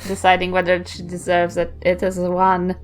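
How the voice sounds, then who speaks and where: A woman's voice: lowish voice, monotone, moderate pace. A woman chatting casually as a player in a tabletop game. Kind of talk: casual chat. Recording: music under the voice.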